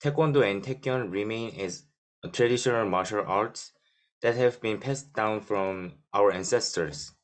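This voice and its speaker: A Korean man in his twenties with a Korean accent, giving a prepared presentation in English with frequent uh fillers. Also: fairly low pitch. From South Korea. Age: 20s. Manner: reads slowly with frequent uh fillers. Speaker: student forum presenter.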